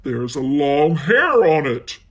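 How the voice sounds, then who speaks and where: A narrator doing a storybook daddy bear who speaks in a deep, gruff growl, slow and heavy in delivery. He is big and easily cross. His lines swing with lively rises and falls. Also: heavily acted.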